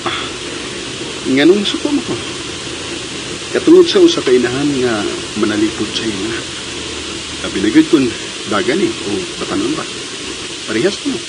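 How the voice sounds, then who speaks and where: A man's voice: mid-range voice, very animated, moderate pace, pauses often, lightly acted. A man acting in a radio drama as a protective, easily irritated young suitor, with curt, challenging replies and courtesy toward women. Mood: earnest. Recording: noisy radio drama recording.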